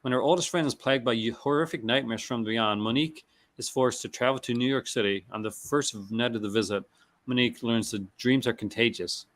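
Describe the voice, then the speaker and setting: A man in a relaxed conversation, reading out film blurbs. He stumbles over names, restarts his words and laughs easily as he goes. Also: medium pitch. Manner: reads product blurbs aloud, stumbling and restarting, laughs often. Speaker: host. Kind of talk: casual chat.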